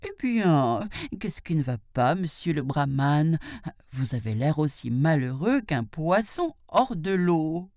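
A woman's voice: low voice, very animated, takes it slowly, darkish tone, no echo, studio quality, exaggerated voice. A woman voicing a sly jackal who feigns muddled stupidity, stammering and hesitant, in a storybook tale. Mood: puzzled.

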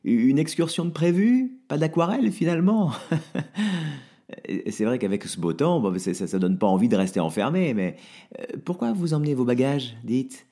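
A man voicing a hapless, blustering husband who stammers and protests loudly. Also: medium pitch, big pitch swings, normal speed, voice pushed up. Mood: nervously jovial.

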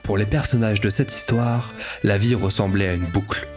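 Man as solemn, low-voiced storyteller. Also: fairly even intonation, normal speed, music in the background, close to an everyday voice. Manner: solemn, literary. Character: solemn storyteller narrating a time-loop tale. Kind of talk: scripted narration for audio fiction.

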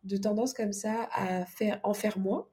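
A woman's voice: mid-range voice, steady tone, unhurried, warm tone. A woman speaking casually as a podcast guest, explaining things informally with examples. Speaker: podcast guest, organisation coach. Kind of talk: casual interview conversation.

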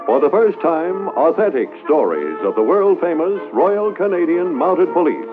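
A man's voice: on the high side, sing-song, moderate pace. A man announcing a 1950s radio drama in a dramatic, declaiming manner. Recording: tinny old radio with music.

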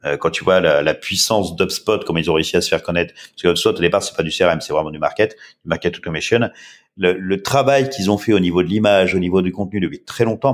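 Deep-voiced man in his fifties. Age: 50s. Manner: rambling, many filler sounds. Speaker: startup founder. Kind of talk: casual interview answers.

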